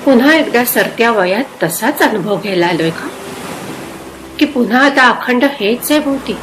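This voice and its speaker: A woman's voice: on the high side, expressive, normal speed, noisy background, lightly acted. A woman voicing an ageing, wistful woman in a dramatic story, full of emotive questioning. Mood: troubled questioning. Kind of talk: dramatic literary story reading.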